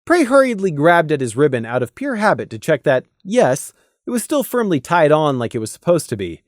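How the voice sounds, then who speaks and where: A man's voice: a little high, sing-song, a little fast, dry recording, studio quality. A man reading scripted narration of a fantasy story as an audiobook narrator.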